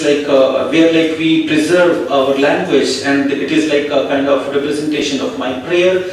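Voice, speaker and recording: Male interpreter, hesitant and filler-heavy, a bit high, distant mic in a very echoey hall. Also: a little hiss or hum. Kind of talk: consecutive interpreting. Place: conference hall.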